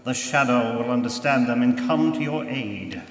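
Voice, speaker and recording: A man acting a commanding, ominous crime fighter in a live radio drama, speaking in solemn, measured pronouncements. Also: medium pitch, close to an everyday voice. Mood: grave, commanding. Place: church used for live stage performance. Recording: distant, echoey, noisy live hall recording.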